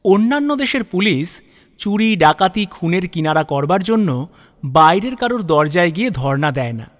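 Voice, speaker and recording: A man narrating a detective story in a dramatic, scripted style. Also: fairly high pitch. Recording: long reverb on voice.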